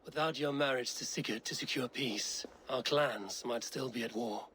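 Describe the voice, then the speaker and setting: Man acting steady Viking warrior, faint ambience. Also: mid-range voice, moderate pace, a little hiss or hum, natural voice. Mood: admiring. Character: bold, steady Norse Viking warrior, plainspoken and warm-hearted. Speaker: game character. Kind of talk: dramatic game cutscene acting.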